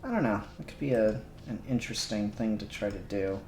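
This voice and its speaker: A man's voice: lowish voice, expressive, brisk, darkish tone, slight background noise. A man chatting casually as he hosts a live stream while working. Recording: roomy echo, music underneath. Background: music.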